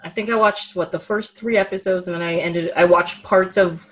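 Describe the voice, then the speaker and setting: A woman co-hosting a podcast, chatting casually about a movie in a rambling, animated way. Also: lowish voice, moderate pace. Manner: animated, rambling, frequent "like" and laughter. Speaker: podcast co-host.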